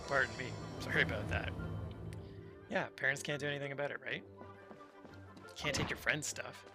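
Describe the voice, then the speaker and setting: Male streamer, mid-pitched, loose rambling chat with game music clearly underneath. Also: moderate pace. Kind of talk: casual chat during livestream. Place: indoors, game audio and music behind.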